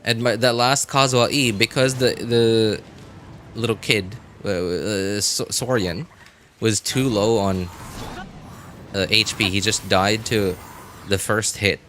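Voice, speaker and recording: A man giving casual live gameplay commentary, thinking aloud in a halting way with sarcastic asides. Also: fairly low pitch, fairly even intonation, a bit bright, a little hiss or hum. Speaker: game streamer.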